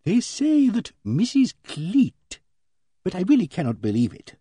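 A man plays a genteel old maiden lady from a storybook, pitched high and swooping in her agitation. She is pious and easily shocked, and she speaks in hesitant, fluttering murmurs, recorded dry and close. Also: wildly swooping pitch, a little slow, warm tone, husky, no room sound, exaggerated voice. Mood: agitated distress. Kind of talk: audiobook character dialogue.

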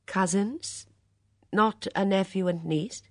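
A woman voicing a quiet, deliberate male police inspector questioning witnesses in an audiobook. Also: mid-range voice, no room sound, clean studio sound, pitched above the performer's own voice, natural voice. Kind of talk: audiobook character dialogue.

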